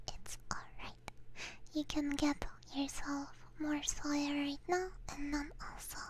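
A woman whispering softly and gently into a close binaural microphone, chatting casually with stream viewers as an ASMR host. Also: high-pitched. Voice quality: breathy whispering.

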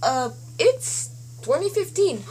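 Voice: sits high, big pitch swings, takes it slowly, a little mellow, heavily acted, poor audio. A performer voicing a laid-back nineties college-dude version of a retro game hero, stretching out slacker slang. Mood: amazed, stoked.

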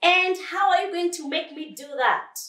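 A woman's voice: extremely high pitch, animated, normal speed, bright, forceful tone, roomy sound, recorded from a distance, pitched above the performer's own voice, exaggerated voice. A woman voicing a snappish, taunting bully crocodile in a storybook reading. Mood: sneering.

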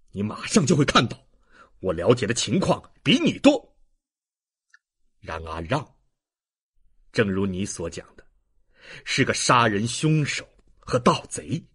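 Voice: mid-range voice, a bit bright, slightly rough, voice pushed down, full character voice. An audiobook narrator voicing a stern, contemptuous young baron with a commanding manner. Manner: commanding, deliberate.

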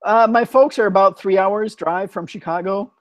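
A man in his fifties hosting a casual video call, talking slowly and plainly with a patient manner. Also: high-pitched, no room sound, lo-fi sound. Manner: patient, slow, plain speaking with restarts. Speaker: host of a conversation practice call. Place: home room, indoors. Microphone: earphone headset on video call.

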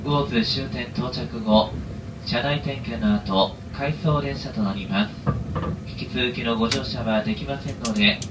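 A man's voice: medium pitch, far from the mic, lo-fi sound. A man working as a train conductor, making formal, polite announcements over the onboard PA. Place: inside a moving train, over the PA. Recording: echoey train PA, loud running noise. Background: loud train noise.

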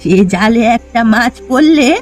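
A woman's voice: fairly high pitch, lively intonation, moderate pace. A woman voicing a homely old village mother in a fairy-tale cartoon, stubborn and doting, exclaiming and fretting as she talks. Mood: earnest. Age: older. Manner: homely, exclaiming, fretful rustic talk. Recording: music behind the voice.

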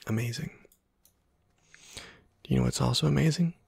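Guy doing asmr lets play, super gravelly fry, breathy half whisper, kinda rambles and puts himself down. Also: mid-range voice, normal speed, a little mellow, dry recording, clean studio sound. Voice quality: husky, breathy, often slipping into whisper. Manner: rambling, self-deprecating. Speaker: host of a gameplay video channel. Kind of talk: soft-spoken ASMR gameplay commentary.

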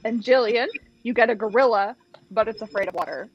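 A woman's voice: fairly high pitch, a little fast, highs cut off, faint music behind. A young Canadian woman hosting a lighthearted interview over a video call, laughing often as she explains party games. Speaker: host. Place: room at home.

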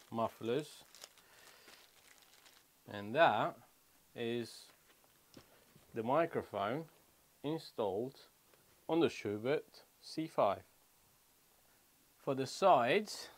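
A man in his thirties giving a casual hands-on product walkthrough, explaining step by step in short phrases as a vlogger. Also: mid-range voice. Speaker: motorcycle vlogger. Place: small wooden shed or garage room.

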